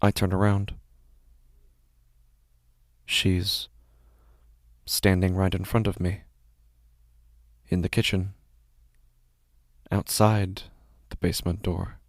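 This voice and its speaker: A man playing an anguished, guilt-ridden father in a halting, brooding horror monologue. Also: deep voice, little pitch movement, no echo, clean studio sound. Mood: dread. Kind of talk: first-person horror drama monologue. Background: prominent music.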